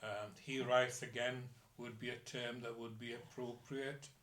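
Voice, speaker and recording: Slow, measured man in an echoey room. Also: medium pitch, little pitch movement, a bit bright. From Wales. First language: Welsh. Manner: measured, thoughtful, with pauses and hesitations. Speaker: interviewee. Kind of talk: reflective interview answers. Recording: roomy echo.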